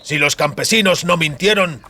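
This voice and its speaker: A man's voice: fairly high pitch, moderate pace, sharp tone. A man voicing a venomous, commanding villain colonel in an audiobook, drawling pompous archaic threats.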